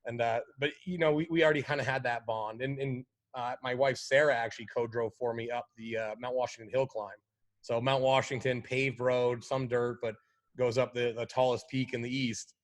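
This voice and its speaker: Easygoing rally driver, mid-pitched. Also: male voice, fairly even intonation, moderate pace. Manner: easygoing, lots of fillers like "like" and "you know". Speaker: guest, pro rally driver. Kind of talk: casual interview chat.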